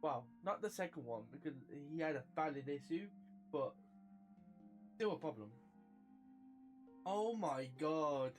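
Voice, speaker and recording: A woman's voice: low-pitched, animated, a little slow, long pauses, darkish tone. A woman streaming a game and reacting casually, blunt and emotive, with faint music behind her.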